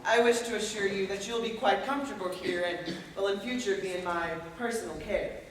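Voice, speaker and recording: A man's voice: sits high, a little fast, close to an everyday voice. Man playing asylum doctor, condescending, formal, placating, stage acting, long hall reverb, far from microphone. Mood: authoritative, placating. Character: smooth condescending male asylum superintendent doctor. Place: auditorium stage, recorded from far off. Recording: echoey hall from far off, faint hiss.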